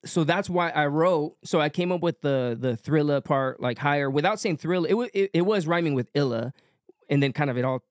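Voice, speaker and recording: A young man talking casually as a musician guest on a podcast, relaxed, with lots of fillers. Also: fairly high pitch, fairly quick, dry recording, studio quality. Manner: relaxed, many fillers, light-voiced.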